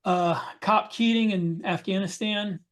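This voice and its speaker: A man's voice: high voice, unhurried. A middle-aged man chatting casually on a podcast, telling anecdotes in hesitant pieces. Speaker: podcast co-host. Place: indoor room on a video call.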